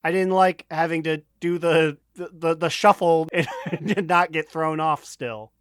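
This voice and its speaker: A man's voice: a little high, steady tone, measured pace, clean tone, dry recording, clean studio sound. A man co-hosting a casual gaming podcast, wryly griping with the odd swear word. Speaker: podcast co-host. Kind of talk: casual chat about online gaming.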